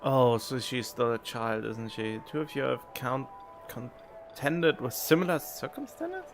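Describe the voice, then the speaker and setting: A man's voice: mid-range voice, expressive, a little slow, noisy background. A man streaming a video game, playfully reading dialogue aloud and reacting.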